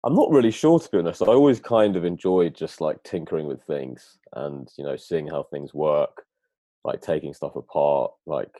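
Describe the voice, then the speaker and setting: Low-voiced laid-back man, dry sound. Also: normal speed, dark tone, dry recording. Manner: casual, lots of "like" and "kind of". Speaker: guest, data engineer. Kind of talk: casual interview answers.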